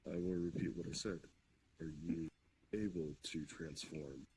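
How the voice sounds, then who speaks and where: An ancient demon king, acted by a man in an online roleplay, speaks in a deep, low voice. He is formal and deliberate, with calm authority and polite manners. Background noise sits behind the voice. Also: vocal fry. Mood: calmly probing. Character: ancient demon king, courteous, measured, quietly commanding.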